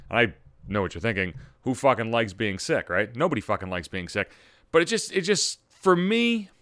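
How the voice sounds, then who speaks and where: A man hosting his own podcast, ranting loudly with heavy swearing after just getting over a cold. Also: a little high, no echo, clean studio sound. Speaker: podcast host. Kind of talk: profane comedic rant.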